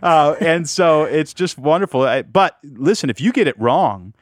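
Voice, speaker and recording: A man's voice: a little high, moderate pace, no echo, studio quality. A man in his forties hosting a business podcast, chatting casually and joking with his co-host. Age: 40s. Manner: joking, conversational, animated.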